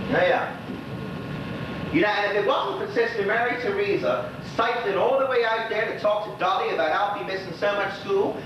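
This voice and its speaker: An actor playing a lonely old widower in a stage monologue, chatting wistfully and rambling in a folksy Bermudian dialect. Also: male voice, high voice, far from the mic, lightly acted. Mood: fond, amused. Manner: rambling, folksy, gossipy storytelling. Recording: echoey stage recording from afar, noisy, dull.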